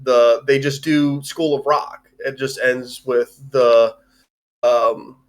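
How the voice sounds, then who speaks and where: A man's voice: medium pitch, steady tone, takes it slowly. A man co-hosting a livestream, casually talking through a movie review in a rambling way with frequent swearing. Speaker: stream co-host reviewing a movie.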